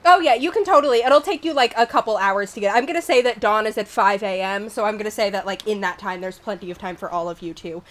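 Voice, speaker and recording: A young woman running a tabletop game as game master, playful and quick to laugh. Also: mid-range voice. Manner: playful, laughs often, lively asides.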